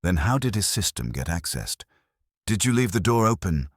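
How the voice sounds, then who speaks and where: A man's voice: low voice, sing-song, moderate pace, no echo, clean studio sound, pitched above the performer's own voice. A man voicing a wry, cool private investigator in a detective audiobook, wisecracking as he probes. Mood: pressing. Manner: wisecracking, probing.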